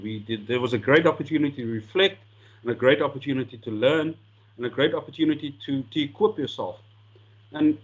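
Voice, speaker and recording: South african guy, afrikaans first language, earnest coaching talk, stumbles and repeats a lot, kinda dull lo-fi sound. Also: medium pitch. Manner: earnest, repeats words as he restarts. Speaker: sport coaching lecturer. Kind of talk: presentation talk with slides.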